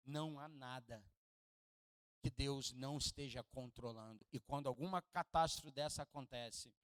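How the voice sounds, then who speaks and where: A man with a Rio de Janeiro Portuguese accent preaching a sermon in a church, dropping to hushed low asides and rising to loud, high peaks, joking with the congregation and asking them to repeat lines after him. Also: clean tone, no room sound. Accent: Carioca Portuguese. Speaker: pastor. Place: church hall.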